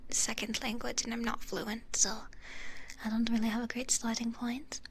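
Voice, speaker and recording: A young woman from the United States, a VTuber whispering through an ASMR livestream, greeting her chat softly with little kiss sounds. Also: on the high side, little pitch movement, moderate pace, a little hiss or hum. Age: young adult. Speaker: VTuber streamer.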